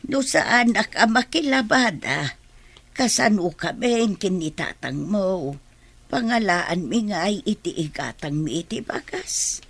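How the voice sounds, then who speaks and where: A woman playing a kindly, persuasive character in a family radio drama, gently insistent in the way she talks. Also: medium pitch, lively intonation, measured pace, slightly rough. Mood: gentle, resigned.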